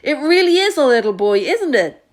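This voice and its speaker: A jittery fire chief yells in a high, climbing voice, spooked by everything he sees, as a woman voices him in a storybook reading. He blurts out every word as if the sky is falling. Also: normal speed. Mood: flustered. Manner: excitable, yelling, voice climbing high. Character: panicky adult male fire chief, jittery.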